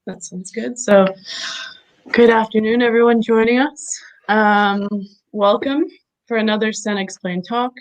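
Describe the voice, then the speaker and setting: A woman's voice: on the high side, steady tone, unhurried, low-quality recording. A woman hosting an online video talk, friendly and hesitant, with many ums. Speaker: talk host. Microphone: video call.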